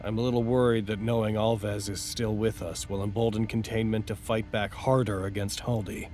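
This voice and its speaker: Faint music runs under a fairly low-voiced man an actor playing a wary facility officer in an audio drama. His delivery is dry, understated and economical. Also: flat delivery, moderate pace, a little mellow, natural voice. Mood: worried. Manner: terse, dry.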